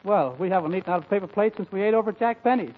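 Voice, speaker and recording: A man's voice: high-pitched, lively intonation, rapid-fire. A man playing a cheeky, teasing sidekick who trades wisecracks in 1940s radio comedy. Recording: tinny, very noisy old radio.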